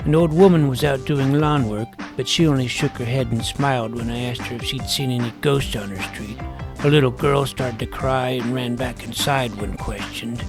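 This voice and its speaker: Give this an earnest, self-important boy detective played by a man telling his comic mystery in an audio drama. The delivery is deadpan and precise, with a creaky edge to the voice. Music plays behind it. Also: mid-range voice, moderate pace, natural voice. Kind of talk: first-person scripted narration of a comic mystery.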